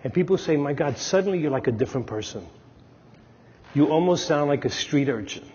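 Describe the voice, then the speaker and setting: Middle-aged New man, analyst telling stories at a podium; breathy, a bit high, dull lo-fi sound in a reverberant hall. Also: lively intonation, brisk, darkish tone. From Brooklyn, New York. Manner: storytelling, emphatic, gestures with his hands. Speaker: Jungian analyst giving a talk. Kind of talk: conference talk at a podium. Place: large dark stage, lecture hall. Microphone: clip-on lapel mic. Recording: lo-fi recording with long hall reverb.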